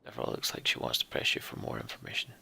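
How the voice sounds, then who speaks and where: A man's voice: quick pace, bright, forceful tone, whispered. A man hosting a game playthrough, reading the story text aloud dramatically and adding casual commentary. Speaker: let's play host.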